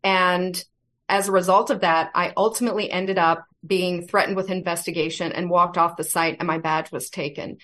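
A woman being interviewed as a guest, speaking earnestly and in a measured way. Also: on the low side, steady tone, fairly bright tone. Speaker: guest, federal whistleblower. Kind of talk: interview answers.

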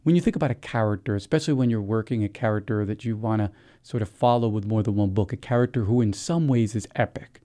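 A man's voice: medium pitch, dark tone. A man from New Jersey, an author answering interview questions in a reflective, casual way, mixing slang with literary phrasing. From New Jersey, USA. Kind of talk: relaxed conversational interview.

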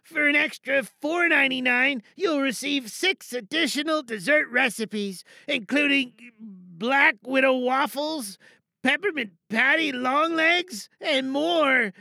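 A woman's voice: sits high, slow, creaky, sharp tone, no echo, exaggerated voice. A woman playing a small, cheerful, meek helper in an audio drama monologue, hesitant and apologetic, eager to please as they read out an advert. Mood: dutiful presenting. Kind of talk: monologue acting with stilted advert reading.

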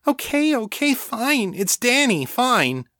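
A man's voice: high voice, lively intonation, a little slow, fairly bright tone, dry recording, voice pushed up. An anxious woman from an audiobook, acted by a male narrator, pleading with her friend in a raised, swooping voice. She is earnest and urgent, hesitating as she warns, and the sound is close and dry. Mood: pleading, scared.

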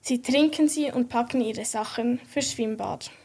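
A woman's voice: on the high side, a little slow, a little mellow, breathy edge. A female narrator reading scripted story narration for a children's radio play.

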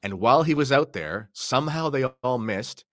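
A man's voice: mid-range voice, expressive, moderate pace, fairly bright tone, dry recording, studio quality. A man co-hosting a podcast, telling stories in a casual, informal, somewhat hesitant way. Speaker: podcast co-host. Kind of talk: casual chat and storytelling.

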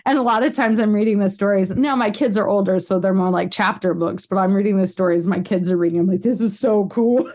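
A woman's voice: medium pitch, brisk, a little mellow. An American woman in her thirties hosting a podcast interview, chatting casually with rambling side notes and frequent laughs. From United States. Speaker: podcast host.

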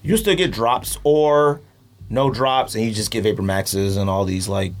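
A man in casual podcast chat, talking in a slangy, animated way and acting out imagined quotes. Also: on the low side, unhurried. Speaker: podcast host.

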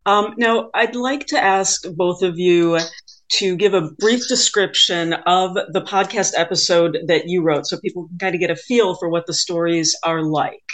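A woman with a slightly low voice hosts an interview. She speaks conversationally as she puts questions to her guests. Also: moderate pace. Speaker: interviewer, host.

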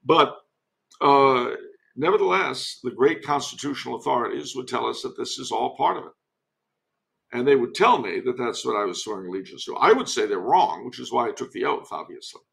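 An American man giving conversational commentary as a podcast guest, with a wry, emphatic manner and a creaky voice. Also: mid-range voice, lively intonation, a little fast, fairly bright tone. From United States. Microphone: remote internet call.

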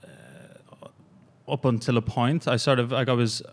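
A young man with an Irish accent, a gym coach, telling his story in a halting, rambling way with many fillers in a casual interview. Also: medium pitch, steady tone, gravelly. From Ireland.

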